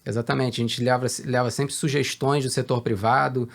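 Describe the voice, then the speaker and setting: Gravelly measured man talking business. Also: medium pitch, level tone. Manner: measured, hesitant with many fillers. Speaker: guest, research director. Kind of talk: conversational business interview.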